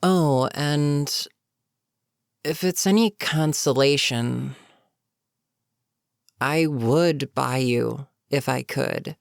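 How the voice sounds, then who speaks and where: A weary goblin captain performed by a voice actor speaks in a creaky, gravel-edged voice at a leisurely pace. The delivery is conversational fantasy roleplay, sardonic and amused but businesslike. The sound is very dry, with no room around it. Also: mid-range voice, extremely slow, long pauses, no room sound. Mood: businesslike, fond. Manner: casual, sardonic, chatty, sighing asides. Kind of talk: immersive character roleplay monologue, conversational.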